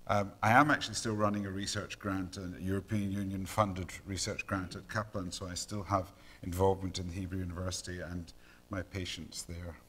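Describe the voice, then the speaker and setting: Middle-aged Scottish man, fairly low voice, lecturing to an audience, unhurried, hesitant with fillers. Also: fairly bright tone. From Scotland. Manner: measured, many ums and uhs. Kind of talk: academic lecture.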